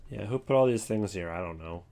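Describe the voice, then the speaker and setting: Low-voiced man, offhand commentary. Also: a little fast, mellow. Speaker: video host. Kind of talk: casual ranking commentary.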